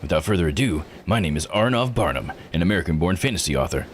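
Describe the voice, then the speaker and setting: A man's voice: low-pitched, expressive, quick pace, a little hiss or hum, pitched below the performer's own voice. A man voicing a cheerful American fantasy author from a visual novel, with grand, flowery dramatic flair.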